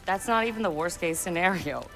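An actress playing a cool, confident young hacker in a video game, wry and self-assured. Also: female voice, lowish voice, animated, a little fast, faint music behind. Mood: serious. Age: young adult.

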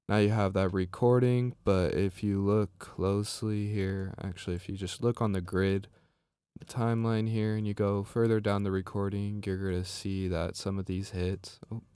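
A man narrating a software tutorial as a music production instructor, explaining step by step in an informal way. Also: on the low side, clear, resonant tone, dry recording.

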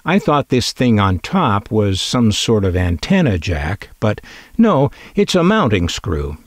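A man with a fairly low voice reads scripted narration for a hobby video. His intonation moves around a lot, giving a wry, opinionated delivery with dry humor. The sound is close and dry, with very little room. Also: slightly rough, no echo. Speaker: presenter of a collectors' video.

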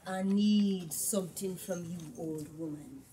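Woman as low, menacing forest spirit. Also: warm tone, recorded from a distance, a little hiss or hum, natural voice. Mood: cold, demanding. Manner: low, slow and threatening. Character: cold, menacing female forest spirit, a Jumbi.

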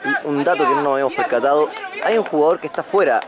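A man's voice: on the high side, very fast. A man giving live play-by-play commentary on a rugby match outdoors. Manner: excitable play-by-play, rises on breaks. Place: outdoors at a sports ground. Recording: crowd noise behind. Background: crowd and field noise.

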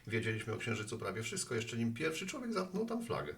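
A man's voice: mid-range voice, sing-song, fast talker, a bit bright. An actor playing a talkative, friendly postman with earnest opinions, chatting at a bar in an audio drama. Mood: earnest explaining. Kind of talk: Polish audio drama acting.

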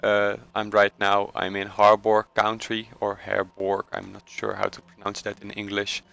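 A man's voice: fairly low pitch, completely flat delivery, moderate pace, gravelly, a bit bright, poor audio. A man from the Netherlands with a Dutch accent, casually talking through a game tutorial with many hesitant fillers and self-corrections. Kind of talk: casual screen-recorded tutorial voice-over.

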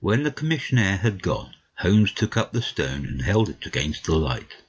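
A man's voice: deep voice, big pitch swings, normal speed, lo-fi sound. A man reading literary first-person narration in a dramatic audiobook.

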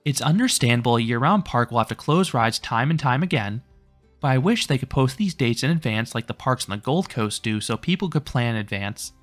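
A man reads a scripted amusement park review in an even, informative voice of middling pitch. He stays matter-of-fact throughout. Faint music plays softly beneath the voice-over. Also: brisk.

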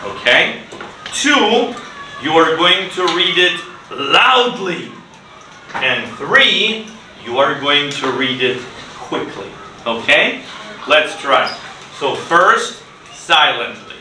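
A middle-aged man teaches a children's class in a roomy, noisy classroom, heard from some distance. His voice is on the higher side, and he uses short, simple phrases and questions to involve the pupils. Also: big pitch swings, pushed and bright. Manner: slow, deliberate, simple phrasing with repeated questions. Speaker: ESL teacher. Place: school classroom, indoors. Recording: roomy, distant classroom recording with clear noise.

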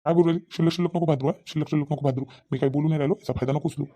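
A man's voice: on the high side, steady tone, rapid-fire, dark tone, natural voice. A man voicing a defensive, irritable village husband and father in a comedy cartoon, with a rural Vidarbha Marathi accent, arguing and repeating himself. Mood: irritated, defensive. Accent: rural Maharashtrian, Vidarbha. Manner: argumentative, self-justifying, repeats himself.

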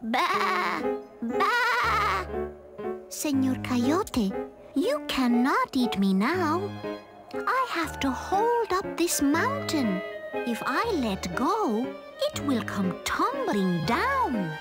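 A woman's voice: high-pitched, very animated, extremely slow, pitched above the performer's own voice, exaggerated voice. A woman voicing a clever little ewe lamb, small and childlike, coaxing sweetly in a storybook reading. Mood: urgent. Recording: music behind the voice.

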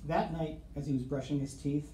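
A middle-aged man reads a storybook to children with dramatic, suspenseful pauses. His voice is soft-edged and mellow. The room adds clear echo and a faint background noise. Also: a little high, fairly even intonation. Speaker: school storyteller reading to children. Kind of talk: expressive picture-book read-aloud with asides to children. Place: small office, seated at desk. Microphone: camera across the desk. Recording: roomy office sound, faint background noise.

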